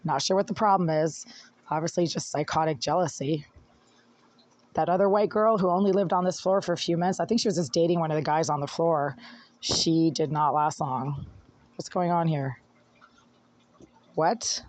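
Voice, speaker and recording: Hoarse, aggrieved tenant ranting. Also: mid-range voice, normal speed, pauses often. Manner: aggrieved, rambling, talks while fiddling with phone. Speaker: tenant filing complaints. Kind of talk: agitated self-recorded complaint monologue. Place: apartment indoors. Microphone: phone held by speaker.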